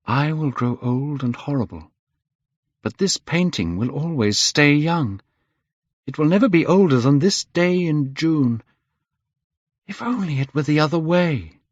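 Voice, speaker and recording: A vain young man, caught in despair, pleads in a raised, strained voice, as a male reader puts him on. The delivery is slow and drawn out. The recording is dry and a little muffled. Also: a little high, animated, no echo, pitched above the performer's own voice.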